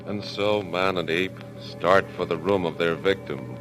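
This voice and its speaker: A man's voice: deep voice, measured pace, rough recording. A man announcing and narrating an old radio thriller in an ominous, dramatic manner. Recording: old radio recording with music behind.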